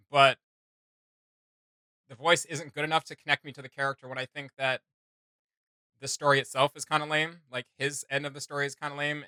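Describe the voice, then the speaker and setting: A man's voice: medium pitch, bright, forceful tone, clean tone, no room sound, clean studio sound. A man hosting a reaction video, chatting casually and giving blunt, emphatic opinions with a teasing edge.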